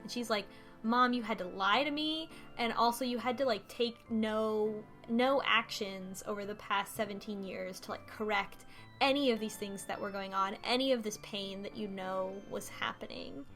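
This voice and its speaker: A woman co-hosting a talk show, casually retelling a story in a halting, conversational way. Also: medium pitch, a bit bright, faint music behind. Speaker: podcast co-host. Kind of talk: casual recap discussion of a story.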